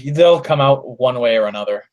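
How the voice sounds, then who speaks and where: A man's voice: medium pitch, lively intonation, normal speed, darkish tone, muffled recording. A man co-hosting a live fan podcast, bantering and giving opinions with lots of restarts. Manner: joking, hesitant restarts when giving opinions. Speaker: podcast co-host.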